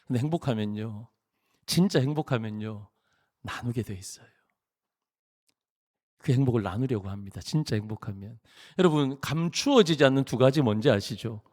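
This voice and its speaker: Animated pastor preaching in a reverberant church. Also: male voice, medium pitch, moderate pace, pauses often. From Busan, South Korea. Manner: animated, jokey preaching with rising emphatic phrases. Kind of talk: sermon. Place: church sanctuary. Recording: long reverb of a large church hall.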